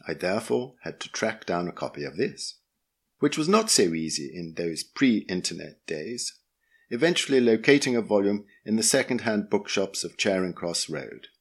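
A man's voice: fairly low pitch, big pitch swings, normal speed. A man with a British accent hosting a podcast and reading a scripted essay aloud. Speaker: podcast host and reader.

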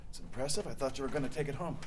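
A man plays a harried official who gets flustered and keeps changing the subject. His pitch jumps around a lot as he talks, and there is a faint hiss under the audio drama. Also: expressive, lightly acted. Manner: flustered, quick to deflect. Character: harried adult male official named Mark. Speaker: lead actor in an audio drama. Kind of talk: comedic audio drama acting.

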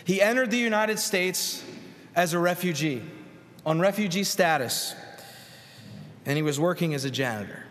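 A middle-aged man, a Presbyterian pastor, preaching a sermon in a church with earnest storytelling, pausing and repeating words. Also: high voice, expressive, fairly bright tone. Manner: earnest storytelling with pauses and repetitions. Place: church sanctuary. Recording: long hall reverb.

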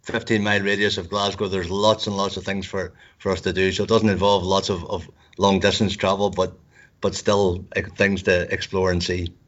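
Halting low-voiced man, dull recording. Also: little pitch movement, fairly bright tone. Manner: hesitant, many repeated words and restarts. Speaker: university recruitment staff. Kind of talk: casual panel discussion. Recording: dull lo-fi sound.

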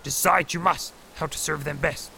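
Tiny ancient alien sage, super croaky, slow backwards phrasing, stern mentor, noisy audio drama. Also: male voice, on the high side, steady tone. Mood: grave, cautioning. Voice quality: gravelly, croaky. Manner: inverted word order, slow deliberate speech. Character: ancient small wise male alien Jedi master, stern mentor.

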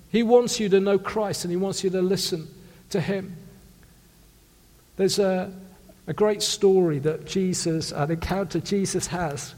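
A man from South Wales with a South Welsh accent, preaching an earnest sermon that swings from loud emphasis to hushed intimacy. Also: high-pitched. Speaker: pastor. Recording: long reverb of a large hall.

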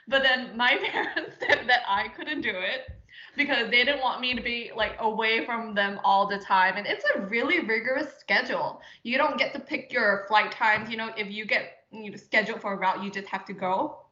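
A woman's voice: on the high side, normal speed, sharp tone. A woman, chatting as an interview guest with lots of fillers and frequent laughs. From Singapore. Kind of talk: casual interview conversation. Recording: roomy, dull lo-fi sound.

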